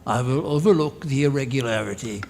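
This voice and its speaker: An actor playing a pompous, officious revolutionary port inspector in a stiff, formal manner in radio theatre. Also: male voice, medium pitch, fairly quick, vocal fry, a little mellow, close to an everyday voice. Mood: officious, haughty.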